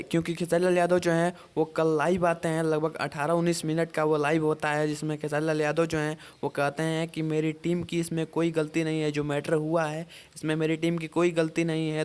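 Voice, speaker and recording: A man hosts an informal online news update. His voice sits slightly high for a male speaker and stays on a very even, flat line. He explains point by point, circling back over his phrases. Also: brisk, few pauses, clean tone. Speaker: YouTube host reporting entertainment gossip. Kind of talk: informal news commentary to camera or microphone.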